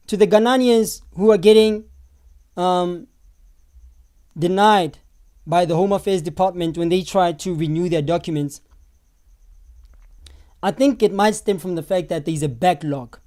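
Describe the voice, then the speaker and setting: Brief: South African man, hoarse and losing his voice, streamer answering chat, mellow tone. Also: sits high, a little slow, pauses often. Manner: animated, talks back to viewers' comments. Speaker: live stream host. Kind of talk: live stream commentary reading chat.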